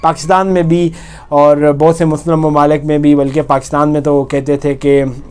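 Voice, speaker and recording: Male news commentator, Pakistani accent, smooth clear voice on the high side, explains and restates points. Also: flat delivery, normal speed, a little mellow. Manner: explanatory, often rephrasing and repeating points.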